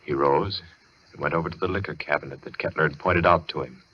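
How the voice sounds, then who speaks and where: A man playing an ominous storyteller, narrating a radio suspense tale in a deliberate, wry manner. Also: a bass voice, breathy edge. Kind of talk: dramatic old-time radio narration. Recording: muffled, noisy vintage radio recording.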